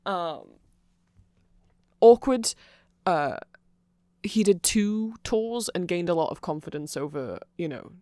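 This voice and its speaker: A man's voice: a little high, a little mellow, no room sound. A man giving a casual ASMR explainer, rambling along with nerdy enthusiasm. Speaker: ASMR audio creator.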